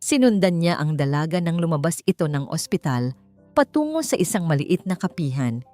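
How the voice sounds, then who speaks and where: Expressive woman narrating a dramatic story. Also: lowish voice, no echo. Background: music.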